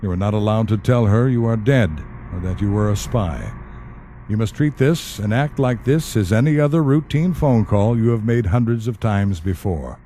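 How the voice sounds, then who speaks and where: An actor in an audio play playing a stern, composed handler to a dead spy, with a measured, formal and dry delivery. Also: male voice, lowish voice, lively intonation, warm tone, a little hiss or hum, lightly acted. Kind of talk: audio drama dialogue.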